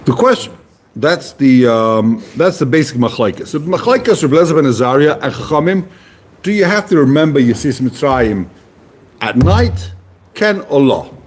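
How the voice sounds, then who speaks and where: A man's voice: medium pitch, animated, normal speed, a little hiss or hum. A man giving a casual Talmud class, explaining Hebrew and Aramaic terms in plain conversational English. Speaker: Talmud teacher.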